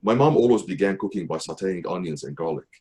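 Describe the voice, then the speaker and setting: Low-voiced man. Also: animated, warm tone. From Syria. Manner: measured, careful reading with occasional restarts. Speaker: author reading his essay. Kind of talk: reading a personal memoir aloud.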